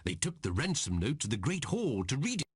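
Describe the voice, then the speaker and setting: A man playing a court magician who guides a quest as an expressive storyteller in a video game, on noisy old audio. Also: medium pitch, fairly quick. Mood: storytelling. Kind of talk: video game cutscene narration.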